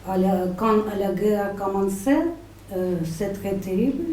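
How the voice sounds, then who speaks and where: Woman, Ukrainian accent, halting second-language speech, mellow, slightly distant. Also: medium pitch, fairly even intonation. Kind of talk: halting personal account. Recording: echoey room, off mic, faint noise.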